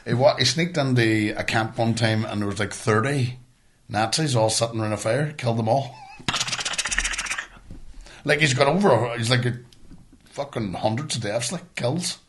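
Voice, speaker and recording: Male podcast co-host, Northern Irish, medium pitch, blunt and animated casual storyteller who rambles and swears freely. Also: normal speed, pauses often.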